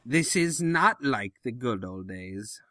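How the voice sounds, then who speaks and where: Servile male cartoon henchman, done by a man, bright, lively swings, polite, hesitant, close dry sound. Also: mid-range voice, slow pace, no room sound. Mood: resigned. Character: nervous, deferential male pony henchman of an evil king. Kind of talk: cartoon fan-fiction audio drama.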